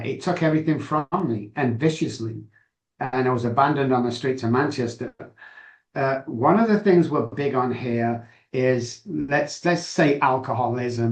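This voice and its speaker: A man's voice: medium pitch, echoey room, rough recording. A middle-aged man with a Manchester accent, a guest telling his story in a chatty, self-assured way. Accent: Mancunian. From England. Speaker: guest, addiction doctor. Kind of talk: candid interview storytelling.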